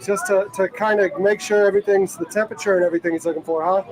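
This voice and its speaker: A man's voice: sits high, fairly even intonation, racing, crowd noise. A man hosting a live vlog from an outdoor boat show, upbeat and conversational. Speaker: host.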